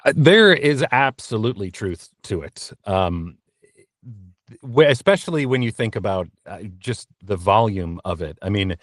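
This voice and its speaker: A man with a fairly low voice talks candidly as an interview guest. He is reflective and self-deprecating, often halting as he searches for words. The sound is dry, from a small padded recording booth. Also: expressive, no room sound. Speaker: guest voice actor being interviewed.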